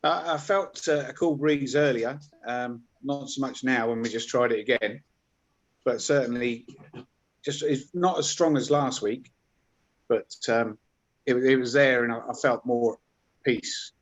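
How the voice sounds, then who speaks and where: Soft-spoken man sharing in a meditation circle. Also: mid-range voice, unhurried, highs cut off. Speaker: meditation participant. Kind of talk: casual group chat. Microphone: video call.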